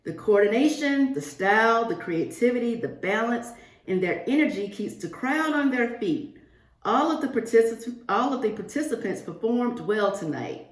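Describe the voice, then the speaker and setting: A woman reading a picture book aloud to young children, expressive and addressing them directly. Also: on the high side, normal speed. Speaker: guest picture-book reader for a school class. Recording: roomy, echoing virtual-reading audio.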